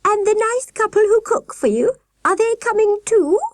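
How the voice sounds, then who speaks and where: An enormous nurse in a storybook. The voice is extremely high and warbles with affected, sugary gentility. It is recorded dry and close. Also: female voice, animated, moderate pace, no echo. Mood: gushing, insistent. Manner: warbling, affected, over-genteel. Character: huge nurse with falsely sweet, fluting high voice. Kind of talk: audiobook character voice.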